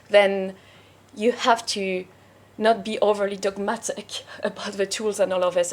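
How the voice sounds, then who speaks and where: Woman panelist explaining calmly. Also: mid-range voice. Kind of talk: unscripted panel discussion.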